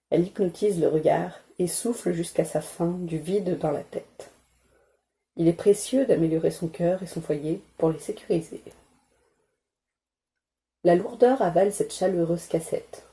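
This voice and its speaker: A woman softly reading poetry aloud in a calm, deliberate ASMR style, spoken rather than whispered. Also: lowish voice. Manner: measured, deliberate, soothing delivery. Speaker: ASMR creator reading poems.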